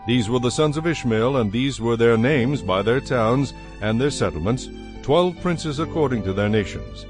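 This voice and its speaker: A man narrating dramatized scripture in a solemn, measured manner. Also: mid-range voice, animated. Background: music.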